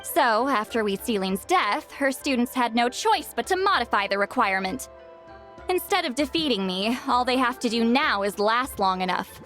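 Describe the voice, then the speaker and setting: A woman's voice: fairly high pitch, expressive, moderate pace, a bit bright, faint music behind. A woman voicing a proud, boastful, easily flustered great shaman in a video game. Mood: proud, aloof. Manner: haughty, boastful, tsundere defensiveness.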